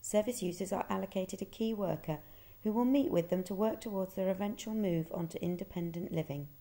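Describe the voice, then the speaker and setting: A woman reading scripted documentary narration in a calm, measured way. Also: mid-range voice. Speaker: narrator and museum project worker.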